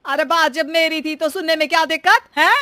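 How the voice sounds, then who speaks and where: Woman acting a high-pitched, bossy old mother-in-law. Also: steady tone, fairly quick, bright, forceful tone, dry recording, clean studio sound. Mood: sly. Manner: bossy, wheedling. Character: demanding, nosy, lazy elderly mother-in-law. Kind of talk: animated story dialogue.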